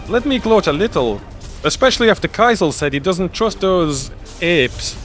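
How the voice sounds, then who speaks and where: Man playing a high-pitched cocky space officer. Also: lively intonation, a little slow, fairly bright tone. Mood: gloating. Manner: gloating, teasing. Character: cocky, jovial human male space officer. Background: background music.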